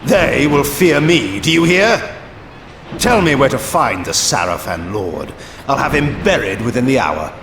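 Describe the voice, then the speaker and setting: An actor playing an arrogant, sardonic ancient vampire lord in a theatrical video game style, haughty and sneering. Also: male voice, medium pitch, sing-song, a little slow, a bit bright, reverberant, a little hiss or hum. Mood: contemptuous.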